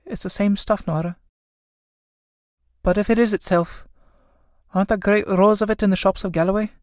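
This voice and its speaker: A woman's voice: mid-range voice, steady tone, normal speed, darkish tone, dry recording, clean studio sound, voice pushed up, lightly acted. A woman playing an anxious, scolding young Irish elder sister in a stage drama, speaking in an earnest, urgent way. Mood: dread.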